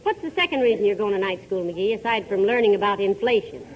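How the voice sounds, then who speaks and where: An actress playing a wry, patient, gently teasing wife in a 1940s radio comedy. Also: female voice, mid-range voice, expressive, quick pace, highs cut off, lightly acted. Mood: curious. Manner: dry, teasing. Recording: hissy 1940s radio recording.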